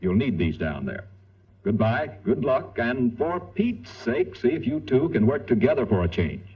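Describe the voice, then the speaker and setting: A brisk newspaperman from a vintage cartoon, husky and very lively in pitch. The old soundtrack is dull, with a little music behind. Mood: brisk, exasperated. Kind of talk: 1940s cartoon acting.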